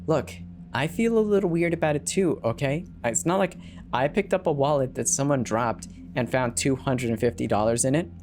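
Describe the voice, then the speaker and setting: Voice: mid-range voice, moderate pace, a little mellow, slight background noise, close to an everyday voice. A narrator voicing a tired, cash-strapped, argumentative parent in a dramatic story reading. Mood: defensive pleading. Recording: background music behind the voice.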